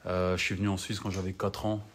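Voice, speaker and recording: A young man from Cameroon, an ice hockey player, chatting as a guest in a relaxed way and often adding "tu vois". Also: sits low, quick pace. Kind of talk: casual interview chat.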